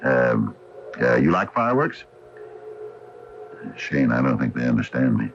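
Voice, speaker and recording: An actor playing a genial, teasing small-town hardware store owner in a 1980 radio drama. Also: male voice, rumbling low, sing-song, a little slow, gravelly, soft background music, full character voice. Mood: uneasy, coaxing. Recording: dull, hissy 1980 AM radio broadcast.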